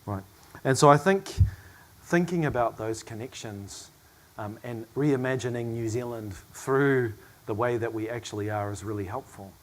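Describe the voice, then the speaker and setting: A hoarse man in middle age chairs a panel, his voice worn thin by a cough. He speaks reflectively and at a measured pace, at an ordinary middle pitch for a man. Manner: reflective, measured, with soft asides and small laughs. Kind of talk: panel discussion remarks.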